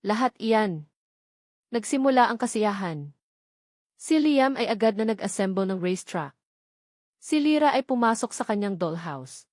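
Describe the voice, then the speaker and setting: A woman's voice: mid-range voice, darkish tone, dry recording, clean studio sound. A woman narrating a scripted dramatic story as an audiobook narrator.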